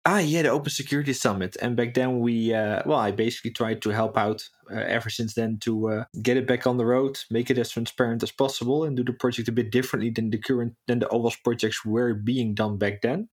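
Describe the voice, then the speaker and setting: Relaxed man, midrange voice. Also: mid-range voice, fast, few pauses, husky. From Netherlands. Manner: relaxed, with many fillers and self-corrections. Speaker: security consultant guest. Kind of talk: casual interview answers.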